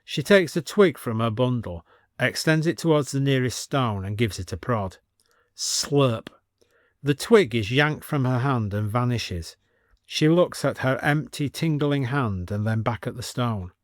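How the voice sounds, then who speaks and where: A man reading a scripted story as an expressive storyteller with dry comic delivery. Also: medium pitch, unhurried, dry recording.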